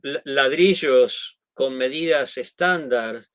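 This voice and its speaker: A man's voice: mid-range voice, takes it slowly, fairly bright tone, dry recording. Hesitant Argentine man, dry sound. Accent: Argentine Spanish. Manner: hesitant, frequent restarts and tag questions. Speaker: guest. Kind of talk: conversational explanation.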